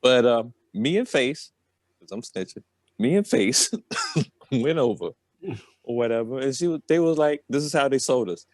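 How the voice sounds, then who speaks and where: A man's voice: mid-range voice, lively intonation, normal speed, a little mellow. A man telling a story on a podcast in a casual, rambling, slangy way. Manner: colloquial, slangy.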